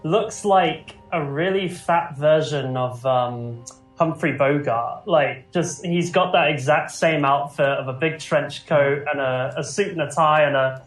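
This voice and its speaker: Male podcast co-host, eager and chatty, mid-high voice; echoey room. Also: faint music behind. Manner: enthusiastic, quick asides, restarts. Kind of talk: casual podcast chat. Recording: roomy room sound.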